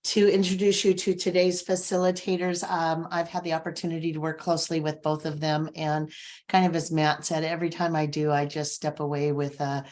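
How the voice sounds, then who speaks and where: Lower-voiced woman hosting an online session. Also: level tone, brisk, darkish tone. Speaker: host introducing facilitators. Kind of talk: introduction at an online session, partly read biographies. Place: video call.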